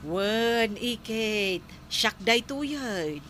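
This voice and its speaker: A woman's voice: fairly high pitch, lively intonation, extremely slow, fairly bright tone, slight background noise, full character voice. A woman playing a dutiful, patient wife and niece in an audio drama, speaking placatingly with an Ilocano accent. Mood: reassuring. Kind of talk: regional audio drama acting.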